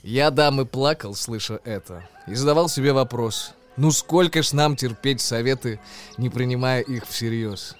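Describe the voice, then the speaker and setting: A man, an actor, narrating a tale in verse for a radio play in an expressive, declamatory way. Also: medium pitch, moderate pace, soft background music.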